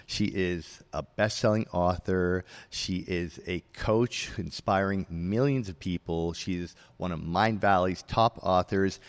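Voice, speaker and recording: Deep-voiced effusive podcast host. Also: male voice. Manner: effusive, filler-heavy with "you know". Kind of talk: interview introduction.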